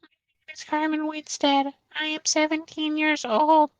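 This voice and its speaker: Man doing a high, monotone ghost girl. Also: moderate pace, dry recording. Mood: eerie, flat. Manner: monotone recital. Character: dead seventeen-year-old ghost girl, eerily flat. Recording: dull lo-fi audio.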